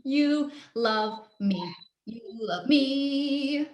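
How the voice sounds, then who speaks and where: Casting: woman as bubbly, childlike sweetheart; very high, swooping, sing-song, playful, in a roomy-sounding reading. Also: lively intonation, very slow, darkish tone, full character voice. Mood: playful glee. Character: smiley, bouncy, childlike cheerful loving partner. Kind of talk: live play reading over video call. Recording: roomy, echoey video call sound.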